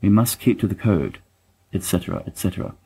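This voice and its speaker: Male reader as a fussy, bookish young man in an audio drama; dark, low voice, dryly self-deprecating. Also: expressive, fairly quick, husky. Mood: fond, exasperated. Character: wry bookish young male letter-writer, fussy and affectionate. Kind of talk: dramatic reading of letters.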